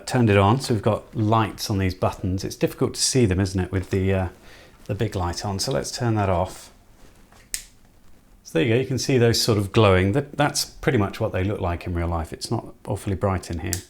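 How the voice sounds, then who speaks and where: A man's voice: fairly low pitch, normal speed. A man giving casual, informal hands-on commentary as he works through an electronics build, half talking to himself. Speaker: electronics hobbyist presenter.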